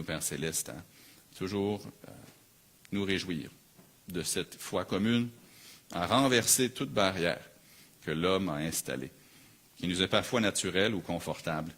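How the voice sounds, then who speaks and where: A man with a low, forceful voice delivers a sermon in Quebec French. He speaks as a pastor, earnest and emphatic, and leans on repeated phrases to build each point. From Canada. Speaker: pastor preaching.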